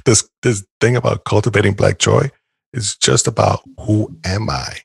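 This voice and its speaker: A man's voice: lowish voice, steady tone, normal speed, gravelly, breathy edge, no room sound. A man co-hosting a podcast, talking in a thoughtful, rambling way with many restarts. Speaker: podcast co-host. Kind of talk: casual podcast conversation.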